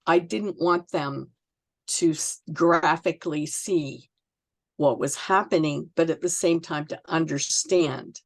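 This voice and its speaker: A woman with a low voice and a gravelly creak speaks as a guest author in an interview. She is reflective and halting, pausing to find her words in a close, dry recording. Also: no echo. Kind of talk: conversational interview answers.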